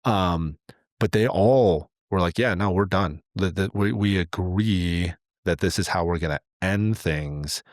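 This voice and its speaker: A man with a low-pitched voice talks in a dry, close recording. He tells stories casually as a podcast co-host, slipping into quoted remarks in a loose conversational way. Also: unhurried, no echo.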